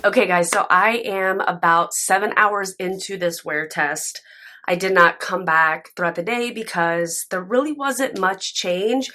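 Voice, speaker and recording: A young woman reviewing makeup to camera at home, chatty and candid, her voice raspy and stuffy from a nap. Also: mid-range voice, unhurried. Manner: chatty, candid and expressive, with frequent fillers. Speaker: beauty vlogger. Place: home room with candle and plants, indoors. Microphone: camera in front, sometimes very close.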